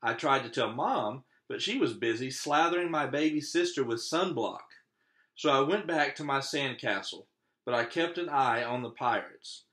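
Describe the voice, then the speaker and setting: A man's voice: medium pitch, lively intonation, bright, forceful tone. A man, an elementary teacher, reads a children's picture book aloud and breaks off for casual asides about the pictures. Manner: pauses to comment on the pictures.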